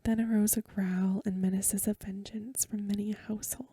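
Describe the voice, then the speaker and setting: A woman reading aloud in a hushed, measured, breathy whisper, in the soft-spoken style of a bedtime ASMR recording. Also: mid-range voice, little pitch movement, no echo.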